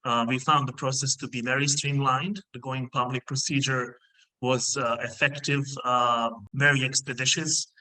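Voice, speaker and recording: Bright-voiced Bosnian-born executive, halting pace. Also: male voice, medium pitch, level tone, rough recording. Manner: measured, many filler pauses. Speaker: mining company CEO, guest. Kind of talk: business interview over video call. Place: indoor room. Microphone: video call.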